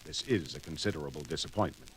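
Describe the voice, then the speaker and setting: An actor playing a calm, logical male alien science officer who speaks in a measured, precise and formal way in a 1970s audio drama. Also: extremely low pitch, a little hiss or hum, poor audio. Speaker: first officer.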